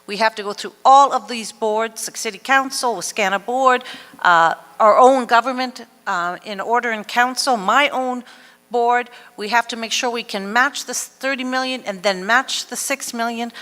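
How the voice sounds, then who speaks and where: A woman addresses a public consultation, determined and rallying her listeners behind a project. Her voice sits a little high. A long reverb trails after her words in the hall. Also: moderate pace, fairly bright tone.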